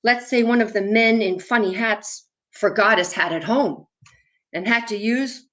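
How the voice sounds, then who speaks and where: Slow-paced woman storyteller for children. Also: a little high, fairly bright tone. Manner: asks the viewers questions, explains simply and step by step. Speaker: children's storyteller and theatre performer. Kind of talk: demonstrating props and puppets to young viewers.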